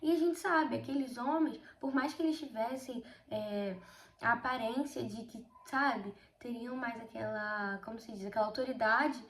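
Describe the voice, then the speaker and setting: A sixteen-year-old girl with a Brazilian accent and a somewhat high voice talks through a casual Bible study vlog. A cold has left her slightly hoarse, and she keeps calling out to viewers while telling stories with lively emphasis. The room sounds echoey, with faint noise underneath. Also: normal speed. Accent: Brazilian Portuguese. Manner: chatty, keeps addressing viewers, emphatic storytelling. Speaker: video host.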